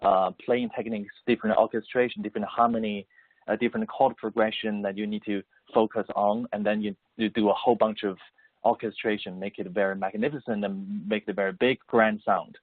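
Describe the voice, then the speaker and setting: A man from Beijing whose first language is Mandarin, speaking English with a Mandarin Chinese accent as an interview guest. He answers haltingly and repeats words as he searches for phrasing. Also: lowish voice, fairly even intonation, dry recording. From Beijing, China. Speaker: guest composer and musician. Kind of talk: interview answers over the phone. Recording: tinny dry phone line.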